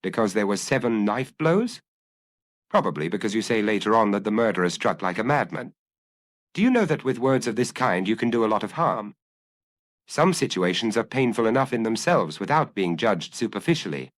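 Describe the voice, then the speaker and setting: A man's voice: fairly low pitch, very animated, normal speed, no echo. A man reading a detective story aloud as a scripted audiobook narration.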